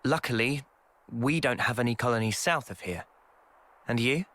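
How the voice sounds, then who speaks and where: A man playing a calm, earnest young squad leader in a video game, measured and conciliatory. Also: mid-range voice, close to an everyday voice. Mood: calm, practical.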